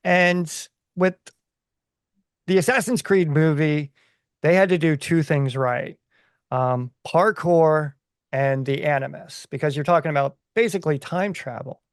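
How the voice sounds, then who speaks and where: Higher-pitched man chatting on a podcast. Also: measured pace, no echo, clean studio sound. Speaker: podcast co-host. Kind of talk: casual podcast discussion.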